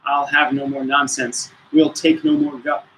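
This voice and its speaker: A man's voice: mid-range voice, monotone, a little slow, a bit bright, a little hiss or hum, natural voice, low-quality recording. A man voicing a rude, menacing rival in a children's story, snarling and emphatic. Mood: menacing, taunting.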